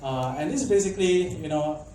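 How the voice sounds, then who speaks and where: Young man from Malaysia, casual conference speaker, chatty, lots of fillers, quizzes the crowd; dark tone, faint background noise. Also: fairly high pitch, a little fast. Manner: chatty, filler-heavy, asks the audience questions. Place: conference hall. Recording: echoey hall, mic at a distance, faint background noise.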